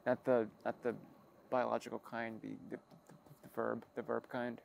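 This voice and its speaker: A man with a creaky, gravelly edge speaks at a middling pitch in a rambling personal log. He is halting and wry, circling back on his own phrases and correcting himself as he goes. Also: completely flat delivery, a little hiss or hum. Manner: halting, self-interrupting, wry and figurative. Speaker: creator of an audio log channel.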